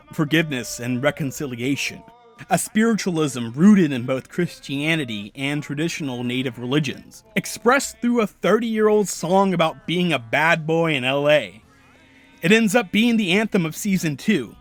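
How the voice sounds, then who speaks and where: A man narrating a video essay in a measured, analytical way. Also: fairly high pitch, fairly bright tone. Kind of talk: scripted narration.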